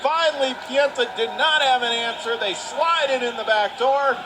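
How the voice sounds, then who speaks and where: A man's voice: very high voice, normal speed. An American man doing live radio play-by-play commentary of a hockey game. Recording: noisy arena ambience behind voice. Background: ice rink noise.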